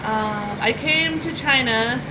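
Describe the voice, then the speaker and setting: A woman's voice: fairly high pitch, slow pace. A young American woman giving casual interview answers in very informal speech, peppered with "like". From United States. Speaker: teacher being interviewed. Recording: loud traffic and street noise.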